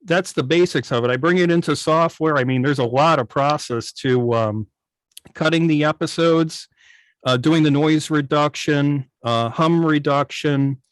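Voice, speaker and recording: A man's voice: fairly high pitch, measured pace, dry recording. A man giving a casual, methodical technical explanation as a guest on a video meeting. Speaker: guest, old-time radio station operator. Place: remote video call. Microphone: video call microphone.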